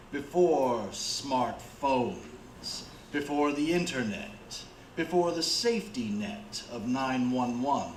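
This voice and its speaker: A man introduces a radio thriller as its announcer. His delivery is slow, deliberate and portentous, at a medium pitch. The space around him echoes, with faint noise. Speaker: announcer, narrator. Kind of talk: scripted radio suspense introduction.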